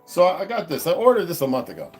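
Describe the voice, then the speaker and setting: A man's voice: huge pitch swings, rapid-fire, a little mellow, soft background music. A man chatting casually to his viewers at home, wandering from topic to topic with many restarts. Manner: meandering, restarts, talks to his dogs in a high coaxing voice. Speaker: vlogger. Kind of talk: rambling casual vlog to viewers. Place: indoors at home.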